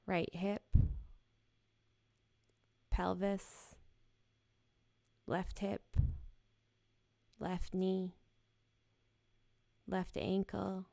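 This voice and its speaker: Slow, even-toned woman guiding meditation. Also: mid-range voice, vocal fry, no room sound. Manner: gentle, unhurried, soothing, with short spaced phrases. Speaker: yoga nidra guide. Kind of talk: guided meditation, slow body scan.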